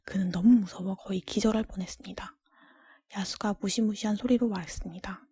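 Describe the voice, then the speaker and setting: A woman reading a storybook in a soft, breathy ASMR whisper, gentle and careful. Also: medium pitch, steady tone, a little fast. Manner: hushed, gentle, careful narration. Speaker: storybook reader.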